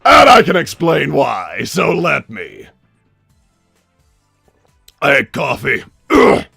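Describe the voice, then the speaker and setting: A man playing a flamboyant, excitable mad-scientist villain hosting a livestream, theatrical, exasperated and rambling, with a raspy voice. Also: fairly high pitch, slow pace, dry recording, clean studio sound, faint music behind, full character voice. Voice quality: gravelly, raspy. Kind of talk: improvised in-character livestream hosting.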